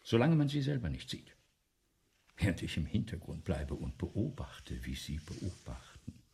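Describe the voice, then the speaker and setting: An actor playing a vain, excitable playwright in a German radio play, with a pompous, theatrical, lecturing manner. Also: male voice, low voice, measured pace, creaky, slightly rough, full character voice. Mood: scheming, bossy. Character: vain, excitable, self-dramatizing 19th-century male playwright.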